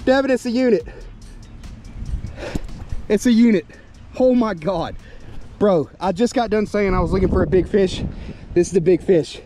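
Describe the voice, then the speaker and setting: A young man with a fairly high, mellow voice vlogs outdoors beside the water. He talks in an excitable, casual way, exclaiming loudly and laughing often. Faint music plays behind him. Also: moderate pace, pauses often. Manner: excitable, shouting and laughing at catches. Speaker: fishing vlogger.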